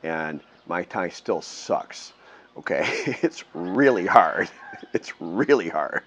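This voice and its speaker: A man's voice: deep voice, big pitch swings, slow pace, fairly bright tone, a little hiss or hum. An older man from the Midwestern US talking casually to camera, rambling, correcting himself and chuckling as he goes, outdoors under a metal roof. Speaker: vlogger living abroad.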